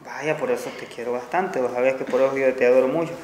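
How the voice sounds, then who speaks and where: A man's voice: mid-range voice, fairly even intonation, fast, a little mellow, slight background noise. A young man with a Salvadoran Spanish accent acting as a controlling husband in a home-made comedy sketch, scolding and then sweet-talking. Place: indoor kitchen. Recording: echoey tiled room.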